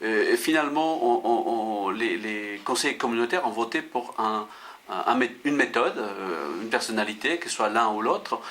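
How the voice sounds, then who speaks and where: A man's voice: fairly low pitch, animated, normal speed, fairly bright tone. A man conducting a conversational political interview, feeling his way through questions and repeating words as he goes.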